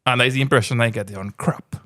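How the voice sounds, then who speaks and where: A man's voice: medium pitch, fast talker, sharp tone, no echo. A man from Trinidad with a Trinidadian accent, a podcast host asking questions in a blunt, teasing chat. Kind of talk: casual interview chat. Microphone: studio podcast mic.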